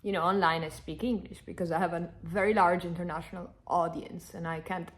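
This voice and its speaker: A woman in her thirties from Northern Italy, a native Italian speaker, chatting to camera in an animated, emphatic way, answering followers' questions. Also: fairly low pitch, brisk. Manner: animated, emphatic, repeats herself. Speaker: content creator. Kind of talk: casual Q&A chat to camera.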